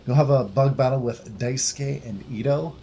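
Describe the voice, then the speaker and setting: A man's voice: medium pitch, moderate pace, creaky, dark tone, lo-fi sound, slight background noise. A man hosting a gaming video, giving casual, easygoing commentary and reading dialogue choices aloud. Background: game music.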